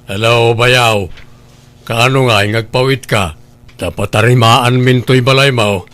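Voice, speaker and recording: An actor playing a pushy, scheming husband who lectures and demands, in an Ilocano radio drama. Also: male voice, medium pitch, measured pace, a bit bright, close to an everyday voice. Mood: curious, brisk. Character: Lando, pushy scheming husband, demanding money from brother-in-law.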